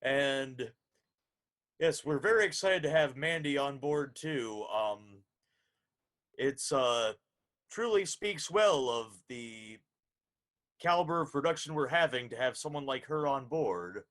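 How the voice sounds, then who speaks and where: A man's voice: medium pitch, expressive, slow, fairly bright tone. A man hosting a radio interview in a courteous, announcer-like way. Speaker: show host, interviewer.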